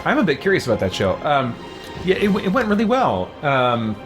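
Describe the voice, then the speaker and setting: A man's voice: fairly high pitch, wildly swooping pitch, moderate pace. A man chatting casually on a livestream, answering viewers in a hesitant way and searching for words. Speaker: streamer playing a game and answering viewer comments. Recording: music playing behind voice. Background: faint music.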